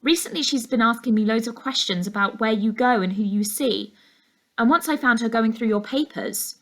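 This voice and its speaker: A curious, frank young girl in a children's novel, played by a woman reader. Her voice sits high and light, and she speaks earnestly. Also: moderate pace, a bit bright, rough recording.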